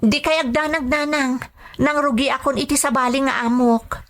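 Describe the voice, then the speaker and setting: A young Filipina maid working overseas in a radio drama. Her voice sits a little high, with a Filipino accent. She comes across as patient, dutiful and anxious. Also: fairly even intonation, moderate pace, a bit bright. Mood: reassuring, earnest. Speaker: drama actor. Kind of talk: radio drama acting and letter narration.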